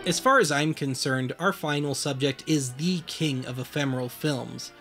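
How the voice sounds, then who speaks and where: A man's voice: medium pitch, normal speed, over music. A man hosting and narrating a scripted documentary series with a dry, wry manner.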